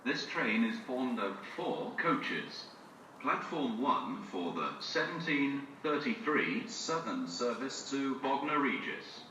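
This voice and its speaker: A British man makes formal train announcements, slowly and in separate phrases. His pitch rises and falls widely, and the loudspeaker sound rings with long reverb over clear station noise. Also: big pitch swings. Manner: formal, segmented list reading with varied intonation. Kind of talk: scripted public address train announcement. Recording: reverberant distant station tannoy, noisy.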